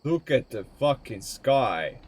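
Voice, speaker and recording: Slow, musing man streaming. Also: mid-range voice, big pitch swings, darkish tone, husky. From Estonia. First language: Estonian. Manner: musing, chatty, swears casually. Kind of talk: rambling gameplay commentary.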